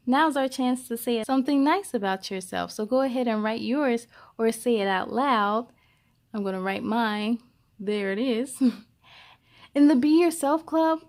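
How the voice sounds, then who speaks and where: Woman, high voice, children's story-time host, expressive read-aloud, encouraging, talks directly to kids. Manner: encouraging, speaks directly to young listeners.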